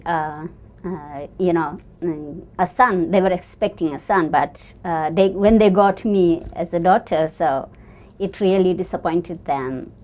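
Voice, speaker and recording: A woman from northern Pakistan speaking in a recorded interview, with many pauses and fillers. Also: lowish voice, a little mellow. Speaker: program participant.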